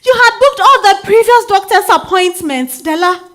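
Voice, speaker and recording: A woman's voice: very high voice, expressive, moderate pace. A woman with a Ghanaian accent playing a bitter, wounded wife in her thirties in a theatrical stage reading. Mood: bitter, anguished. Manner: theatrical, swinging from hushed to outcry. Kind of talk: dramatic stage monologue reading. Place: live stage at a literary festival.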